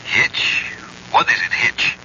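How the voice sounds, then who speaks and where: An actor playing a scheming doctor in a radio drama, formal, hesitant and evasive. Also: male voice, takes it slowly, sharp tone. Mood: wary, probing. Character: smooth-mannered, evasive male doctor, secretly scheming. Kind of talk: 1970s radio drama acting. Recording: muffled, hissy old radio broadcast.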